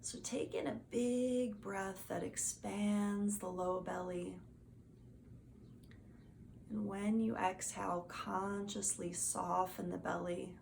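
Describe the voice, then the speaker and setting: A woman gently guiding a meditation as a teacher, in a soothing, soft and unhurried manner. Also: mid-range voice, steady tone, vocal fry. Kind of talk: guided meditation instruction. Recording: faint background noise.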